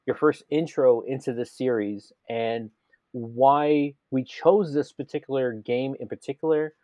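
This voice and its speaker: A man's voice: medium pitch, measured pace, a little mellow, no room sound, lo-fi sound. A man co-hosting a casual gaming podcast, talking enthusiastically and rambling with many restarts. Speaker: podcast co-host.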